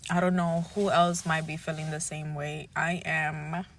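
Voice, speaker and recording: Tearful young woman with a bright voice. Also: low voice, little pitch movement, unhurried, slight background noise. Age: 20s. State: close to tears.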